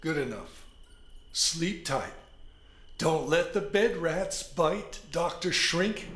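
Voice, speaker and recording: Man playing a bullying, high-pitched prison guard. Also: animated, slow pace, fairly bright tone. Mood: sneering menace. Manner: barking, sneering orders. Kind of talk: audio drama acting. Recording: echoey room.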